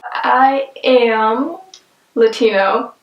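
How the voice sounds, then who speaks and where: A teenage student, a high school junior with a female-sounding voice, speaking earnestly in a documentary interview. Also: drawn-out words, reverberant, dull audio. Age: teens.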